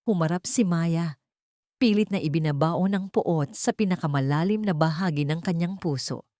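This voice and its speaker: A woman's voice: fairly low pitch, normal speed, mellow, dry recording, clean studio sound. A woman narrating a scripted audio story in a dramatic storytelling style.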